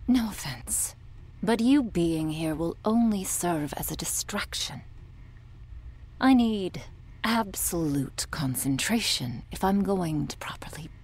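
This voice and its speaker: A woman playing a cold, domineering medic in an ASMR roleplay, speaking in a breathy, condescending near-whisper with quiet menace. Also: sits low, exaggerated voice. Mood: cold, threatening. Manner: condescending, menacing, coolly authoritative. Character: cold, sadistic, domineering woman medic running a captive operation.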